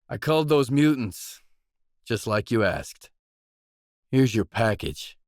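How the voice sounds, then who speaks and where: A man's voice: fairly low pitch, creaky, no room sound, studio quality, heavily acted. An actor playing a pragmatic male mercenary stalker in a video game, speaking in restrained, matter-of-fact, clipped lines. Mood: businesslike, matter-of-fact. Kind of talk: video game character dialogue.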